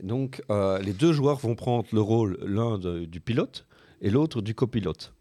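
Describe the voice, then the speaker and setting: A man in his forties explaining board games on a casual podcast, in a hesitant way with many filled pauses. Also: lowish voice, lively intonation, warm tone. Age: 40s. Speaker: board game podcast panelist.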